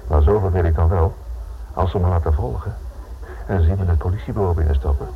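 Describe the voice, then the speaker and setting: A man acting in a Dutch radio play as a cautious but determined writer and husband, anxious and somewhat halting. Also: low-pitched, vocal fry. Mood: uneasy, resolute. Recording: muffled narrowband old radio recording with hiss.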